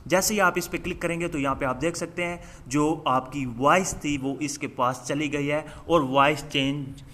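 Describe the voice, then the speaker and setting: Bright, forceful man presenting a tutorial. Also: on the high side, fairly even intonation, moderate pace, a little hiss or hum. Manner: addresses viewers directly, instructional, step by step. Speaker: tutorial channel host. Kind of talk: scripted-sounding phone app tutorial narration to viewers.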